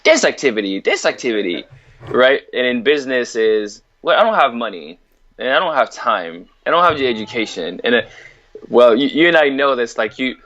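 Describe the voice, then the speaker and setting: A man from Africa talking as a podcast guest about fitness coaching, relaxed and saying "you know" a lot. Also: mid-range voice, highs cut off. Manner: relaxed, laughs lightly, says "you know" often. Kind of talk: casual interview chat.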